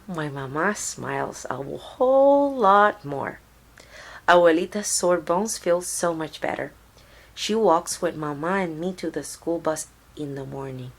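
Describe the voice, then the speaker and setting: A woman reading a children's storybook aloud in a deliberate, expressive storytelling manner. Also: deep voice. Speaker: storybook reader.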